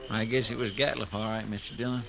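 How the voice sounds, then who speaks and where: An actor playing a loyal, deferential sidekick to a frontier marshal, with a folksy in a 1950s radio drama. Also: male voice, fairly low pitch, fairly even intonation, quick pace, vocal fry, background noise. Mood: earnest. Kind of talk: 1950s radio western acting. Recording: vintage radio recording, crowd murmur behind.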